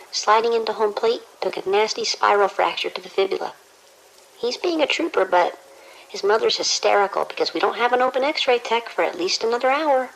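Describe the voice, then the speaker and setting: A woman playing a harried, brisk emergency room nurse in an audio drama, reporting in a clipped, businesslike way. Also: on the high side, gravelly, poor audio.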